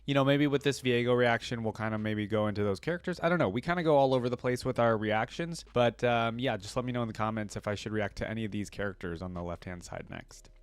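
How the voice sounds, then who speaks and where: A man's voice: medium pitch, fast talker, hardly any pauses, clean tone, no room sound. A man hosting a reaction video in a casual style, reading lore aloud and adding conversational asides of his own. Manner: conversational asides on what he reads.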